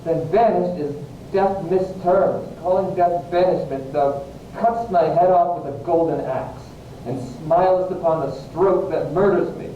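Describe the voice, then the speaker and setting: A man's voice: on the high side, unhurried, natural voice. A man acting an anguished, impassioned young banished lover in a Shakespearean verse reading. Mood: anguished, protesting. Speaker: amateur actor, English teacher. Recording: echoey room, muffled, distant mic, faint hiss.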